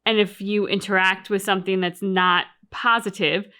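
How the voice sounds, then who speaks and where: A woman's voice: mid-range voice, steady tone, moderate pace, bright, forceful tone, smooth. Female reaction host, conversational and earnest, thoughtful casual discussion, natural mid-range voice. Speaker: host of a game reaction channel. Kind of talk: casual discussion of a game's story.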